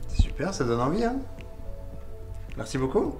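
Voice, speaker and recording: A man's voice: fairly high pitch, big pitch swings, normal speed. A man playing a visitor in casual conversation for a tourism promo. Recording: roomy echo, faint music behind.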